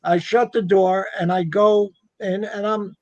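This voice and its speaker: High-voiced man telling a story casually. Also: steady tone, a little slow, a little mellow, dry recording, lo-fi sound. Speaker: guest telling a personal ghost story.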